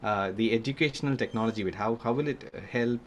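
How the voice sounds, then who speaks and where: A man's voice: mid-range voice, fast talker, slight background noise. A man hosting a podcast, asking interview questions in a hesitant way full of fillers and self-corrections. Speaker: podcast host.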